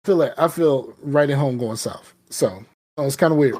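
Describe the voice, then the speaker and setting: A man's voice: medium pitch, moderate pace, warm tone. A man with a Southern US accent, a podcast co-host chatting casually with lots of fillers and restarts. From American South. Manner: rambling, many fillers and restarts. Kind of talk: casual chat.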